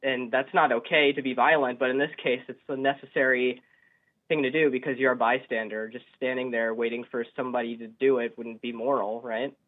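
An eighteen-year-old man from upstate New York calling a radio show on a phone line, debating casually in a hesitant, halting way. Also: medium pitch, steady tone. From upstate New York, United States. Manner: hesitant, halting, stammering restarts. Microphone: telephone. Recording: narrow, tinny phone line.

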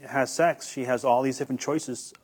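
A man's voice: mid-range voice, fairly even intonation, normal speed, a little mellow, breathy edge. A young man giving earnest, hesitant interview answers as a guest, often repeating words as he starts. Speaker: guest, YouTuber.

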